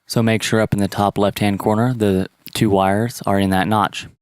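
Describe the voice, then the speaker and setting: Low-voiced man giving repair instructions. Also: little pitch movement, normal speed, clean tone, no echo, studio quality. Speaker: how-to presenter. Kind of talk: step-by-step repair tutorial narration.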